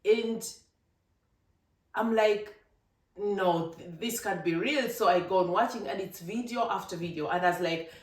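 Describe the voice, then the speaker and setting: A vlogger from Africa, giving an emphatic, animated opinion monologue with laughing asides. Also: medium pitch, a little slow. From East Africa. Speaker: vlogger, channel host. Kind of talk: casual opinion monologue to camera. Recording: roomy, distant from the mic.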